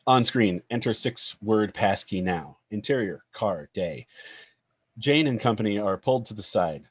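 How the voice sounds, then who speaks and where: A man's voice: on the low side, expressive, measured pace, slightly rough. A man narrating a screenplay's stage directions in a scripted table-read style.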